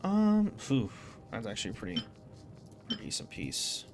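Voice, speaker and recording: A man's voice: medium pitch, huge pitch swings, moderate pace, noisy background. A man giving casual, rambling game commentary, thinking aloud as he streams.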